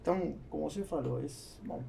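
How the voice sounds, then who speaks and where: Gravelly man, halting speech. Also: fairly high pitch, mellow, slight background noise. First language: Spanish. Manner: halting, searching for words. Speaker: podcast guest, businessman. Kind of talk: conversational interview answers. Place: small foam-lined podcast studio. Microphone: studio microphone on boom arm.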